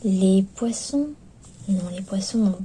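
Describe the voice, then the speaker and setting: A woman murmurs an ASMR clinic roleplay in a very dark, soft voice that often drops to a whisper. She goes slowly and gently, with hesitant pauses, like attentive staff guiding a client. The recording is lo-fi, with faint noise behind her. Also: medium pitch. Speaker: ASMR performer playing skeleton clinic staff.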